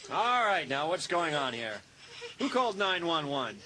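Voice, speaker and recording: Actor as brisk cop for audio drama; sharp, animated, authoritative voice. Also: male voice, fairly high pitch, rough recording. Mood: brisk, commanding. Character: commanding policeman arriving at a disturbance.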